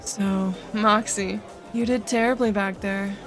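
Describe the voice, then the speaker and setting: A woman's voice: medium pitch, fairly even intonation, measured pace, music in the background, close to an everyday voice. A woman voicing a confident, take-charge young female trainer in a fan fiction reading, friendly and teasing. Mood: frank encouragement.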